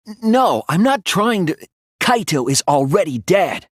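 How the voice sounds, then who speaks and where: Casting: a thoughtful teen boy sleuth for a game dub; earnest, deliberate, slightly breathy, very dry close sound. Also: high voice, sing-song, dry recording, full character voice. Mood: urgent, alarmed. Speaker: video game voice actor. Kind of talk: English video game dub, dramatic deduction dialogue.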